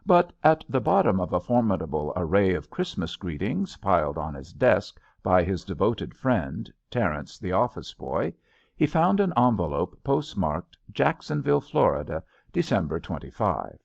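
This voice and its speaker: Lively-pitched male audiobook narrator, gravelly edge. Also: moderate pace, dry recording, highs cut off. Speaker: audiobook reader. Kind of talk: scripted literary narration.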